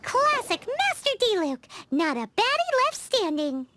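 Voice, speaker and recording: Game dub: a squeaky, animated little fairy sidekick, exclamatory and chirpy. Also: female voice, unhurried, over loud music, heavily acted. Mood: cheerful. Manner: chirpy, exclamatory, refers to herself by name. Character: tiny excitable female floating fairy companion. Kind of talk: video game dub acting.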